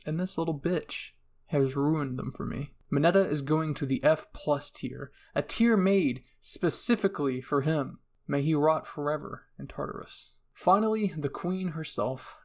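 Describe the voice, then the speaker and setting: Gravelly, higher-pitched man doing irreverent commentary. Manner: irreverent, sardonic, casually profane. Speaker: YouTube host. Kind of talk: casual tier-list commentary, partly scripted voice-over.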